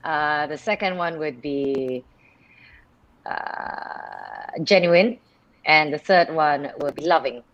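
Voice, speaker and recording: A woman's voice: low-pitched, very animated, takes it slowly, dull audio. A woman from Singapore, a radio presenter, chatting as a guest on a live video call and telling stories with many restarts and laughs. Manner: chatty storyteller, restarts sentences, laughs often.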